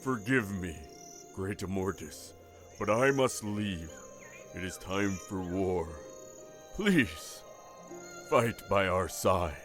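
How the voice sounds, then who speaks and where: A man acting a proud, resolute warrior leader in a fantasy audio drama, formal and forceful. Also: low voice, expressive, slow pace, pauses often, soft background music, full character voice. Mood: grim.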